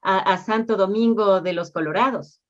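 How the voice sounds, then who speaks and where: A woman conducts an interview, asking polite questions that guide the guest. Her voice is mid-pitched. The sound is muffled and slightly lo-fi. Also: moderate pace. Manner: courteous, leading questions. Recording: muffled lo-fi recording.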